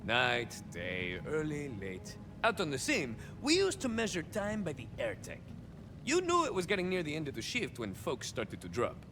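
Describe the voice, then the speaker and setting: An actor playing a swaggering young cyborg soldier of fortune in a video game, a touched flavor, musing theatrically and landing wry deadpan punchlines. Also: male voice, a little high, normal speed, big-room sound, background noise. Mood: wry reflection. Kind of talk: video game character monologue.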